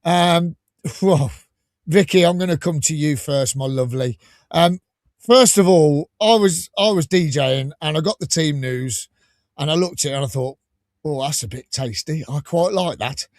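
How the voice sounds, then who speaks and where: Excited man hosting live. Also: fairly high pitch, normal speed, dry recording, studio quality. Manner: enthusiastic, rambling, laughs often. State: slightly croaky with a cold. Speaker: podcast host. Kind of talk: live fan podcast chat.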